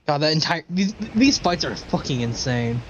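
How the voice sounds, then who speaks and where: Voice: medium pitch, expressive, normal speed, highs cut off, background noise. A game streamer giving casual, sweary, offhand reaction commentary in a middle-register voice.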